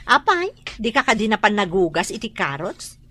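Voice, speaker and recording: An actress with a Filipino accent playing a gossipy, sharp-tongued woman neighbour in a radio drama. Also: medium pitch, sing-song, moderate pace. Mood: taunting, scornful.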